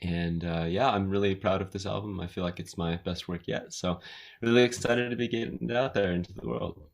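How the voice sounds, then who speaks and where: A man from California, with an American accent tinged with Australian, chatting as a guest singer-songwriter in a relaxed, hesitant way full of fillers. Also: deep voice, brisk, vocal fry. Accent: American, slightly Australian. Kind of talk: casual interview chat. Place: his home recording studio.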